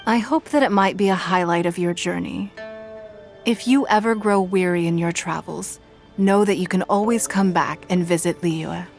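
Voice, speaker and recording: A dignified woman of high standing voiced by an actress for a video game. Her voice sits fairly low, and she speaks with formal, gracious composure. Music plays behind her. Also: close to an everyday voice. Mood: gracious, composed. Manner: measured, formal, elegant. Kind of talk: video game character dialogue.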